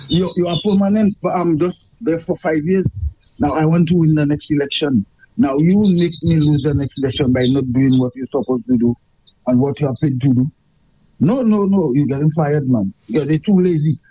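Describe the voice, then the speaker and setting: A man's voice: a little high, moderate pace, mellow, dull audio, a little hiss or hum. A man with a Saint Lucian accent calling in to a radio show, talking emphatically and repeating himself as he complains. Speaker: radio caller. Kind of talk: call-in radio chat.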